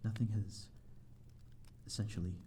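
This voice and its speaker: A man's voice: lowish voice, little pitch movement, normal speed, mellow, slight background noise, lightly acted. A man playing a lonely, courteous vampire in an audio roleplay, speaking in formal, old-fashioned, hesitant phrases to the listener. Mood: mournful, resigned. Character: lonely, courteous male vampire living in an abandoned manor.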